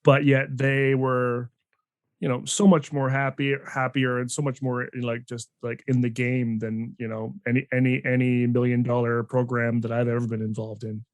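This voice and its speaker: A man's voice: medium pitch, level tone, moderate pace, no echo. A man hosting a podcast interview, talking casually in a rambling way with many restarts and fillers. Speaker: podcast host.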